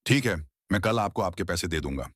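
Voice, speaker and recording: A man's voice: sits low, lively intonation, fairly quick, fairly bright tone, husky, dry recording, studio quality, exaggerated voice. A man voicing a protective, righteous young brother in a cartoon moral story, speaking earnestly and admonishingly. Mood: reassuring.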